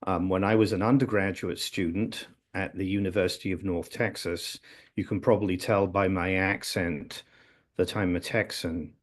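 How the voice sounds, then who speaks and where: An older man from England, a music theory professor recalling his career on a video call from his office, with relaxed storytelling and joking asides. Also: low voice, moderate pace. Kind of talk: casual interview. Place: office, indoors. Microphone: video call, low-angle webcam.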